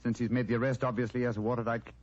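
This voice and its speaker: An actor playing a shrewd, matter-of-fact British gentleman detective in old-time radio drama, on an old recording. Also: mid-range voice, level tone, very fast, lo-fi sound, close to an everyday voice. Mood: cool, brisk.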